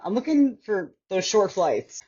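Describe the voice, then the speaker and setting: A woman's voice: medium pitch, sing-song, measured pace, mellow, slightly rough, lo-fi sound. A woman with a slight New York accent, a bodybuilder guest chatting casually and laughing easily. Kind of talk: casual chat.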